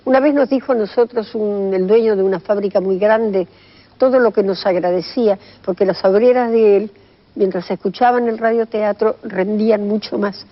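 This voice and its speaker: A woman's voice: medium pitch, normal speed, highs cut off. An older woman with an Argentine accent reminiscing fondly in an interview, repeating phrases for emphasis. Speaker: interviewed former radio drama performer.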